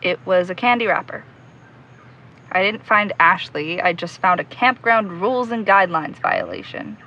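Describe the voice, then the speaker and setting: A woman's voice: lowish voice, sing-song, normal speed, sharp tone, dull audio, heavily acted. A woman playing an earnest, stubborn young investigator in a naturalistic audio drama, discouraged but driven. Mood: frustrated, dejected.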